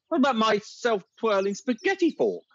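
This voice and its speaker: A man's voice: very high-pitched, big pitch swings, a little slow, full character voice. A man playing a good-natured, doddery old inventor in a radio pantomime, in dull sound. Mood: bumbling.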